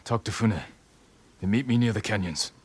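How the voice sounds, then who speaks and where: A low-voiced, grim young samurai. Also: male voice, moderate pace, slightly rough. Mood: stern resolve. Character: grim young samurai lord haunted by his father's death. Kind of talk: dramatic video game cutscene acting.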